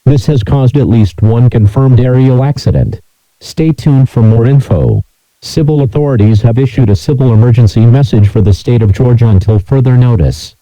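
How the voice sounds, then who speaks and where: Dark, dry robotic male alert voice. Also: medium pitch, dry recording, poor audio. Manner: flat, measured, robotic announcement delivery. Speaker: emergency alert announcer. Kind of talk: scripted emergency alert reading.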